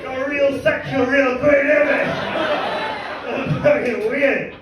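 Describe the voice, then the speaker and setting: Male stand-up comic, high-ish voice, crude deadpan punchlines, echoey club with audience laughter. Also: noisy background. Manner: crude, deadpan punchlines with halting asides to the crowd. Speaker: stand-up comedian. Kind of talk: stand-up comedy set. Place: comedy club stage. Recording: echoey club miked from a distance.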